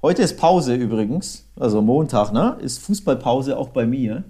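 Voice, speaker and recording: A man's voice: medium pitch, expressive, moderate pace, dark tone. A man chatting casually and colloquially as co-host of a football podcast. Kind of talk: casual chat, recounting match visits.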